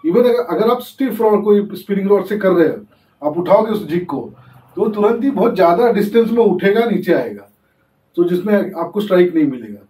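A man's voice: sits high, lively intonation, fairly quick, warm tone. A young man hosting a fishing channel, giving a casual tutorial and explaining as he demonstrates, often slipping English terms into his talk. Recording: echoey, distant from the mic.

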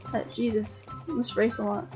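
A young woman chats on a voice call, her voice sitting a little high. She talks loosely, with plenty of fillers and giggles. Faint game music plays underneath. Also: fairly even intonation, moderate pace, muffled recording. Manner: chatty, giggly, trailing fillers. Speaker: channel host rating horses. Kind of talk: casual game chat.

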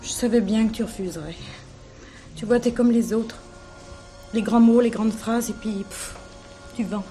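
An actress playing a wily, coaxing Parisian prostitute in a French radio play, speaking colloquially. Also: female voice, on the high side, moderate pace, pauses often, warm tone, soft background music, close to an everyday voice. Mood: reproachful.

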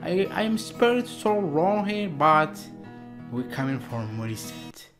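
A man's voice: high voice, very animated, unhurried, music in the background. Algerian-accented man hosting reaction videos. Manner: repeats words and phrases emphatically. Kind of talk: casual, excitable reaction vlog. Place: small indoor room at a desk.

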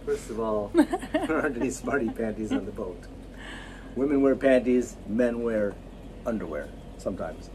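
A man's voice: medium pitch, sing-song, normal speed, crowd noise, rough recording. An older man chatting on a boat vlog. He explains things in a dry, teasing, matter-of-fact way, picked up by a camera held by someone else. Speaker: boat owner and co-host. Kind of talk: casual chat and practical explanation. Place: boat and marina dock, indoors and outdoors.

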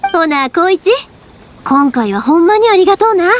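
A woman voicing a cheerful young fireworks maker in a game, speaking with a Kansai accent and a teasing, warm-hearted manner. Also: very high-pitched, expressive, slow pace, heavily acted. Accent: Kansai dialect. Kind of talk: video game voice acting. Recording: game audio with soft music.

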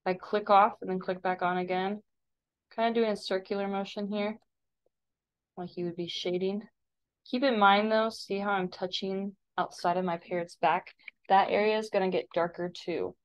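A woman with a creaky voice, an art teacher casually explaining software step by step in a tutorial voice-over. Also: fairly low pitch. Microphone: screen-recording voice-over. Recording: dull, lo-fi voice-over.

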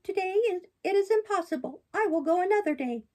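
A woman voicing a naughty, excitable wooden puppet boy in a storybook reading, with a high, eager delivery. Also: a little fast.

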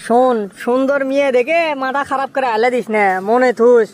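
Man voicing a very high-pitched cheeky Bogura village buddy. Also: fairly even intonation, a little fast, background noise. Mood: glib persuading. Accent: Bogura, northern Bangladesh. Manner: teasing, glib, bantering. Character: cheeky young village buddy, teasing self-styled matchmaker. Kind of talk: comic cartoon dialogue.

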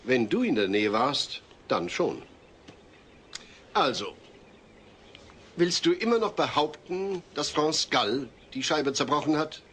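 A man's voice: a little high, very animated, slow pace, pauses often, fairly bright tone, heavily acted. An actor playing an exasperated family man as prosecutor in a German comedy audio drama, dry and insistent. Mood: measured. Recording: noisy old cassette audio drama.

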